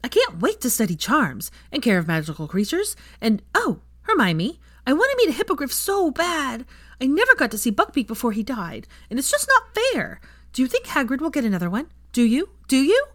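A woman voicing an eager, excitable six-year-old wizard boy in an audiobook story, gushing and emphatic. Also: high voice, brisk, dry recording, clean studio sound, pitched above the performer's own voice, heavily acted. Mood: excited, pleading.

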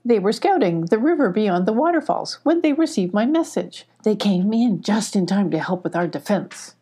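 A woman voicing a brisk, dutiful bee scout in a children's storybook. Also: mid-range voice, lively intonation, dark tone, breathy edge. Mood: earnest.

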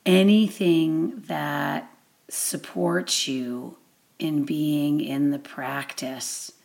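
A woman giving a guided meditation introduction as an instructor, with deliberate, measured phrasing and long pauses. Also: deep voice, gravelly, darkish tone.

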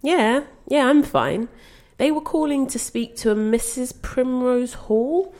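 A woman's voice: sits high, lively intonation, slow pace, a little mellow. Exasperated young woman. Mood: hesitant, careful. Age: young adult. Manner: reasoning, exasperated. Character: concerned, exasperated young adult grandchild, patient but firm. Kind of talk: naturalistic audio play acting.

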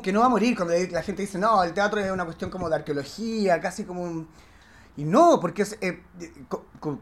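A man with a Chilean accent chatting casually as a guest, slangy and candid, often halting as he reflects. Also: sits high. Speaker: actor, podcast guest.

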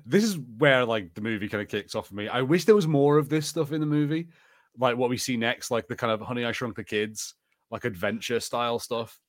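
A man's voice: medium pitch, animated, brisk. Enthusiastic man chatting as podcast co-host. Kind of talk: casual watch-party commentary.

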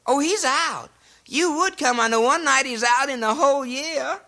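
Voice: high voice, moderate pace, bright, forceful tone. A performer voicing a little male Christmas brownie, chatty and folksy, with a Southern American accent in a comic radio sketch. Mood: folksy, chipper.

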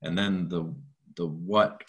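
A man hosting a casual interview, asking his questions hesitantly and reaching for words as he goes. His voice is very low and noticeably husky. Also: fairly even intonation, slow pace, a bit bright. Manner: hesitant, searching for words with fillers. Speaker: interviewer, podcast host.

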